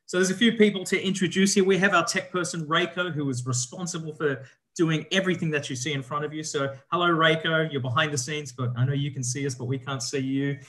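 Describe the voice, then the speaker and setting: A man's voice: a little high, steady tone, quick pace, a bit bright. Upbeat man hosting a webinar. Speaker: educator and host. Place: room on video call. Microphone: video call audio.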